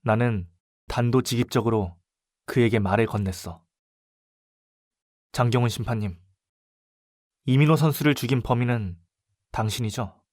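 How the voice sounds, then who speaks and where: A male actor playing a young student detective in an audio drama, speaking with polite, deliberate and dramatic composure. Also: medium pitch, darkish tone, dry recording, clean studio sound, lightly acted. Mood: grave narrating, then cool, confident. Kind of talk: audio drama acting with first-person narration.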